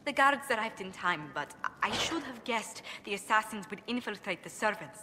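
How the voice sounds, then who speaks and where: A woman's voice: mid-range voice, lively intonation, moderate pace, natural voice. An actress playing a refined, courteous female diplomat in a fantasy video game, speaking formally in a reverberant, noisy hall. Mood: shaken. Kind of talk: cinematic game dialogue acting.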